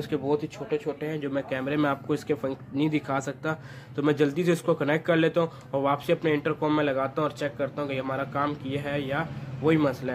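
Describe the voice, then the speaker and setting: A man presents a hands-on how-to in an informal, instructional manner. His voice sits a little high and stays very even in pitch. He explains each step in turn over faint background noise. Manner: instructional, step-by-step, addressing viewers as friends. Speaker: repair tutorial presenter.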